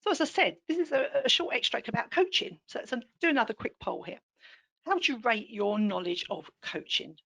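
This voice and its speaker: Woman trainer w a croaky scratchy throat, upbeat n encouraging, explains stuff clearly. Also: on the high side, big pitch swings, fairly quick, fairly bright tone. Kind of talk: online teaching session with polls.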